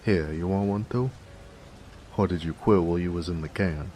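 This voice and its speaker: An actor playing a jovial, affectionate big 1920s mobster with an American accent, in a folksy, teasing noir audio drama. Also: male voice, low-pitched, normal speed, dark tone, a little hiss or hum, natural voice. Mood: warm, teasing. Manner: folksy, teasing, chatty, chuckling. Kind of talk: noir romance audio roleplay, 1920s gangster slang. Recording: faint rain ambience behind voice. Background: rain.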